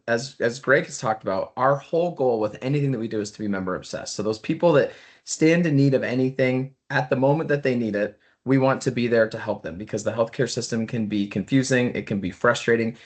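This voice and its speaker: A man's voice: medium pitch, brisk. A man giving a friendly, chatty company overview on a webinar, restarting sentences often. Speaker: sales executive. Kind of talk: business webinar presentation. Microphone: video call.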